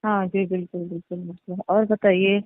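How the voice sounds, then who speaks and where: A woman's voice: on the low side, flat delivery, brisk, lightly acted. A woman playing a placating, coy married tenant on a phone call, speaking in a repetitive, evasive way. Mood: apologetic, placating. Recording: echoey, tinny narrowband phone line.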